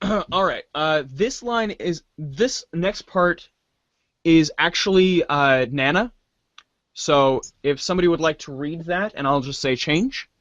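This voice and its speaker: A man's voice: a little high, measured pace, a bit bright, no room sound, highs cut off. A man hosting and narrating a reader's theater adventure in a theatrical, mock-grand manner. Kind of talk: dramatic narration of a comic adventure.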